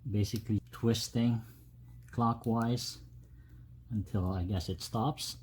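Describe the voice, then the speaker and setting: Guy with a lowish voice walking thru a diy job step by step, kinda halting, noisy background. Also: steady tone, a little mellow. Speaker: host of a DIY truck modification video. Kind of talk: casual step-by-step tutorial. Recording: clear background noise, ambient sound.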